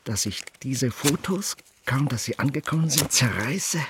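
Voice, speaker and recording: An actor playing a brooding, bitter newspaper editor in a radio play, speaking in halting, hushed phrases with sudden emphasis. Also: male voice, mid-range voice, lively intonation, noisy background, close to an everyday voice. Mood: detached pity. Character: brooding, bitter middle-aged male newspaper editor, tormented and curt. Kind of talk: literary German radio play, interior monologue.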